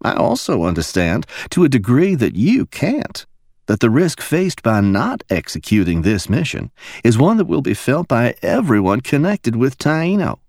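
A man voicing a poised woman security officer who speaks softly and persuasively in a silky Alabama drawl. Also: mid-range voice, wildly swooping pitch, normal speed, no echo, clean studio sound, voice pushed up. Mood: silky, insistent. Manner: silky, soft-spoken.

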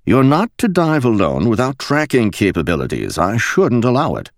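Narrator playing young english captain, starchy, formal, slightly raised pitch, gravelly. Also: male voice, huge pitch swings, moderate pace, no echo, studio quality. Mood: stern, proper.

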